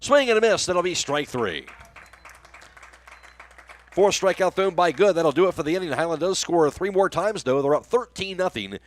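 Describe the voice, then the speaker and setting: A man's voice: high-pitched, expressive, moderate pace, some chatter behind. A man with an American accent doing live radio play-by-play commentary of a baseball game as the announcer.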